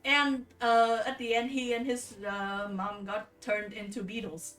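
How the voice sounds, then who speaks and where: A woman streamer reading stories and commenting casually, with an informal, halting, rambling way of talking. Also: a little high, flat delivery, a bit bright, far from the mic, soft background music. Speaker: streamer reading folktales aloud. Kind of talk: casual livestream storytelling and commentary.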